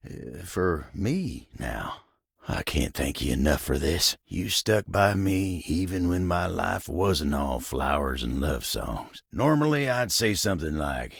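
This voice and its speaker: Gravelly, drawling folksy Southern cowboy sweetheart. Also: male voice, low voice, no room sound, studio quality, exaggerated voice. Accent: American Southern. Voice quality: gravelly, husky. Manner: slow, folksy drawl, bashful and earnest. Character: gentle, lovestruck living table with folksy cowboy charm. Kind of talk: video game character acting.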